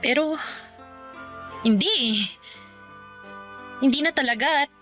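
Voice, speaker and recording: A woman's voice: high voice, slow, fairly bright tone. An actress playing a weary, irritated young working woman in an emotional, confrontational radio drama. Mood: hesitant, sorrowful. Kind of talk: Tagalog radio drama acting. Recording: music bed behind the voice.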